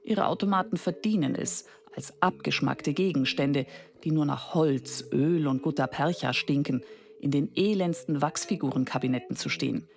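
A woman's voice: sits low, moderate pace, creaky, darkish tone. A woman playing a wry, aloof female machine who muses on humanoids in a radio drama. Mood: scornful. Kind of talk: scripted radio drama monologue.

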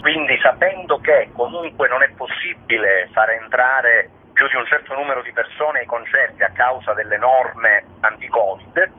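A man's voice: mid-range voice, normal speed, few pauses, husky. A man speaking as an artistic director, giving explanatory answers as a radio interview guest. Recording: noisy narrow phone line.

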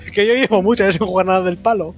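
A man's voice: high voice, steady tone, very fast. Chatty, opinionated man. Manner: chatty, opinionated, laughs often. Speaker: podcast co-host. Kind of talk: casual gaming chat.

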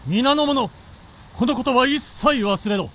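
A stern, imperious warlord in a radio drama. The lordly, commanding voice is very high and sweeps widely in pitch, with a little noise behind it. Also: male voice, animated, unhurried, pushed and bright, full character voice. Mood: stern, threatening. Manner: commanding, threatening, archaic lordly speech. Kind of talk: Japanese radio drama acting.

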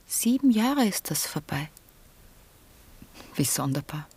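A woman's voice: low-pitched, big pitch swings, takes it slowly, mellow, slightly rough, heavily acted. A woman playing an earnest, brooding young lady in a German radio drama, with intense, wistful, literary phrasing.

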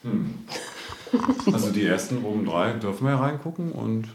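Lively, low-voiced man chatting. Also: normal speed, reverberant. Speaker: hotel guest. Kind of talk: casual chat. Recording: faint background noise.

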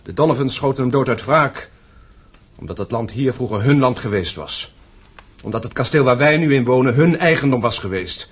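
An earnest, well-mannered young gentleman in a radio drama. His mid-pitched voice has a husky edge, and he is respectful yet ready to dissent. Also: animated, moderate pace. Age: young adult. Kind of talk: radio play acting.

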